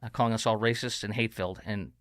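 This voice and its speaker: A man's voice: fairly low pitch, steady tone, fast, fairly bright tone, no room sound, clean studio sound. A man hosting an opinionated talk show, combative and sarcastic in tone. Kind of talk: opinionated commentary.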